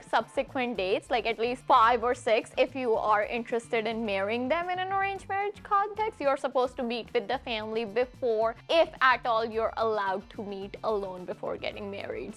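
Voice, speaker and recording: A young woman from India telling stories in a casual chat, wry and animated. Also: on the high side. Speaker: panel guest.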